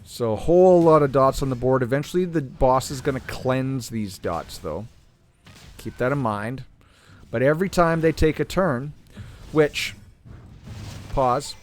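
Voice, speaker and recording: A man hosting a gaming channel, talking casually through a game while playing, clearing his throat as he is losing his voice. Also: mid-range voice, measured pace, pauses often, soft background music. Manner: relaxed, thinking aloud while playing. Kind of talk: casual gameplay commentary.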